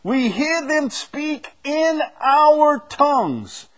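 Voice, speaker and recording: A man teaching a Bible study as a preacher, emphatic, moving between loud declaiming and quieter asides. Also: extremely high pitch, animated, drawn-out words. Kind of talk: teaching with questions from the congregation.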